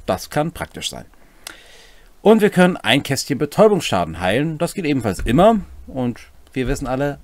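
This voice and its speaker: A man's voice: mid-range voice, darkish tone, dry recording. A middle-aged man co-hosting a semi-scripted explainer of tabletop game rules, with casual asides, in a room at home. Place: small home room, indoors.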